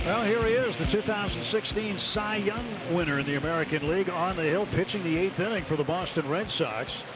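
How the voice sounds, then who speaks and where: Clear ballpark noise surrounds a man's fairly high-set voice. He delivers live radio baseball play-by-play in measured phrases, with short clipped calls of the count. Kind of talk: live radio sports commentary. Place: stadium broadcast booth. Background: ballpark ambience.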